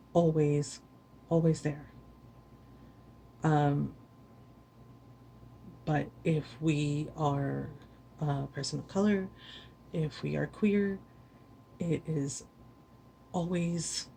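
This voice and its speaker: A woman's voice: sits low, fairly even intonation, drawn-out words, vocal fry, pauses often, warm tone, slight background noise, poor audio. A weary woman streamer giving an earnest, impassioned speech to camera, repeating words for stress. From United States.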